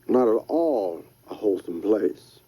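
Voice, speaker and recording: A man doing a soft, formal, ominous gentleman. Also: fairly low pitch, lively intonation, slightly rough, no room sound, lo-fi sound. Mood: grave. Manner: formal, measured, grave. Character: formal, soft-spoken, menacing older man.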